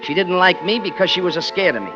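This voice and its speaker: Bitter, wisecracking New working stiff, higher-pitched voice, scheming and slangy, old radio thriller with music behind. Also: male voice, animated, fast talker, dull audio. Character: bitter, scheming working-class former cab driver turned gag writer. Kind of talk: 1940s radio drama acting, first-person narration and dialogue.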